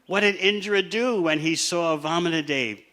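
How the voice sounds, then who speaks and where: A man's voice: on the high side, normal speed, sharp tone. A man teaching a scripture class in a conversational way, asking his listeners questions and sometimes laughing. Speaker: spiritual teacher.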